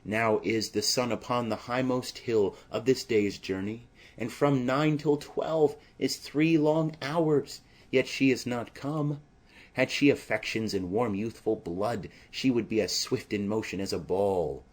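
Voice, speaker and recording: A man's voice: medium pitch, fairly even intonation, measured pace, lightly acted. A man reading the part of an impatient, lovesick teenage girl with a yearning, restless, poetic manner in a tragedy audiobook.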